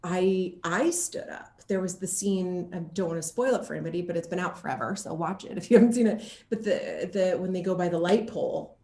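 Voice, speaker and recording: A woman's voice: fairly low pitch, brisk, few pauses, mellow. American woman chatting in an echoey room. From Seattle, Washington, USA. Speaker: interview guest, actor. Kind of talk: casual chat. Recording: roomy, echoey sound.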